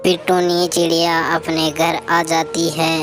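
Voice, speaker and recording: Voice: mid-range voice, completely flat delivery, measured pace. A narrator reading a scripted children's story as a storyteller. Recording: music behind the voice.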